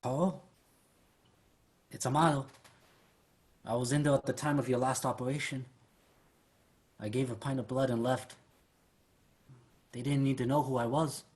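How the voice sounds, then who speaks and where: A man's voice: medium pitch, fairly even intonation, moderate pace, pauses often, darkish tone, close to an everyday voice. An actor playing a proud, stubborn elder brother, a plain-spoken man in a staged drama. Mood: heartfelt, resolute. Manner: plain-spoken, heartfelt, defiant when provoked. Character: Amado, proud, stubborn elder Filipino brother, rough drifter. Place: online virtual staged reading.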